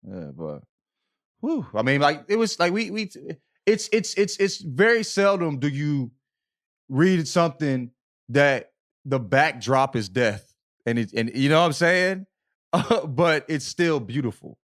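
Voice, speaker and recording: A man's voice: fairly high pitch, sing-song, unhurried, fairly bright tone. A man co-hosting a podcast, talking casually in slang, repeating himself emphatically and often checking that he is understood. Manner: emphatic, repetitive, checks in with "you know what I mean". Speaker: podcast co-host. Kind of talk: casual, slangy book discussion.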